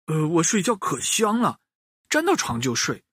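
A man voicing a cheerful mother hen in a children's story, answering a doctor in a raised, bright voice. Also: on the high side, huge pitch swings, moderate pace, breathy edge, no room sound, clean studio sound, exaggerated voice.

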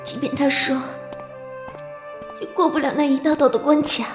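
Woman acting lovesick young noblewoman, high voice, restrained and melancholy, wistful phrasing, period audio drama, music behind. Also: flat delivery, natural voice. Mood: aching, resigned. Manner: wistful, measured delivery in short phrases.

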